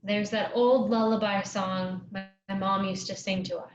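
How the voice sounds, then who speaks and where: A woman's voice: mid-range voice, flat delivery, moderate pace, loud music behind the voice, natural voice. Roomy, muffled woman playing a bold little sister. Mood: playful, curious. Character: bold, playful, loyal younger sister. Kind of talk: staged play reading. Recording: muffled, roomy, some background noise.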